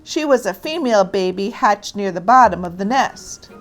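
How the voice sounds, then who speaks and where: A woman reads a children's storybook aloud with deliberate, expressive phrasing. Her voice sits at a medium pitch, and faint music plays behind the narration.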